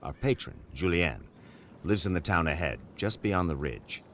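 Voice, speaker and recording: A man playing a composed, formal gentleman detective in a video game, with old-fashioned measured phrasing. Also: low voice, lively intonation, a little hiss or hum. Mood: measured, explanatory. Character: gentlemanly male detective companion, composed and formal, quick to alarm. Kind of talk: video game character acting.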